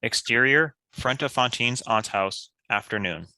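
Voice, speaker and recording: A man's voice: mid-range voice, a little slow, bright, forceful tone, dry recording. A man reading scripted stage directions as a narrator.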